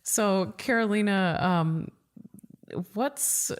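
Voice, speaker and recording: A middle-aged woman hosting a podcast interview, speaking in a relaxed way with frequent murmurs of agreement and filler words. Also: mid-range voice, drawn-out words, clean tone. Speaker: podcast host. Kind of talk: casual interview chat.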